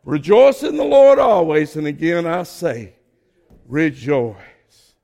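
An older man preaching a sermon, his voice hoarse, building to shouted emphasis. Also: a little high, very animated, slow pace, in a large hall, muffled recording.

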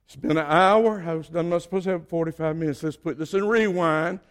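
An older man preaching a sermon, his voice hoarse, building to shouted emphasis. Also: on the high side, a little fast, long reverb tail.

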